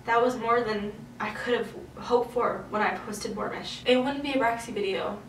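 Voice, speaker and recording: A woman's voice: a little high, steady tone, normal speed, a little hiss or hum. Woman vlogger, candid and emotional, a little far from the mic. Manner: emotional, candid, swears freely. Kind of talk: candid casual talk to camera. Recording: roomy echo, heard from a distance.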